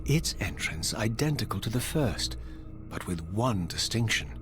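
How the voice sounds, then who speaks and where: An actor playing a brooding, vengeful undead wraith who narrates a game story in solemn, formal, eloquent language. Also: male voice, fairly low pitch, very animated, unhurried. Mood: wary, reflective. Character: brooding undead male wraith, former vampire and priest, vengeful. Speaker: narrator and protagonist. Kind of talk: theatrical first-person scripted narration. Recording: loud music behind the voice. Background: loud game music.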